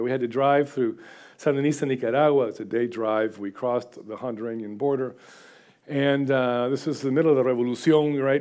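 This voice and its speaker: A man's voice: mid-range voice, darkish tone. A man in his sixties giving an informal, chatty seminary lecture from a lectern, self-deprecating and full of filler sounds. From United States, half Guatemalan. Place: lecture room with audience. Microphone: far camera, standing at lectern.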